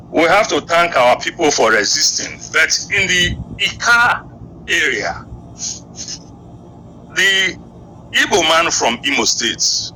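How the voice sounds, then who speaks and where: A man from Edo in Nigeria with a Nigerian accent, explaining local history as an interview guest, slow and deliberate with long pauses. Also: mid-range voice, creaky, sharp tone, a little hiss or hum, low-quality recording.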